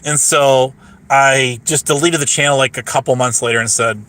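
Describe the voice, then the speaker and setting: A hoarse man rambling casually on a livestream, boastful, repeating himself and laughing mid-sentence. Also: medium pitch, steady tone, normal speed, fairly bright tone.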